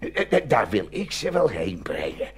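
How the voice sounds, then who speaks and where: An actor playing a sly, whining old cormorant in a fairy-tale radio play, with a raspy, creaky voice and stammering speech. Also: male voice, on the low side, wildly swooping pitch, fairly bright tone, slight background noise, exaggerated voice. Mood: scheming, agitated. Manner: halting, stammering.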